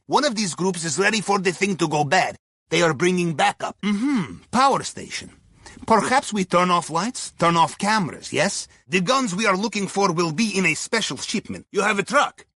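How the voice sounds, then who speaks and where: A man playing a wry Russian submarine navigator and heist advisor in a video game, with a Russian accent, dry dark humor and dropped articles. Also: high-pitched, slightly rough, no echo, exaggerated voice. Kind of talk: video game mission dialogue.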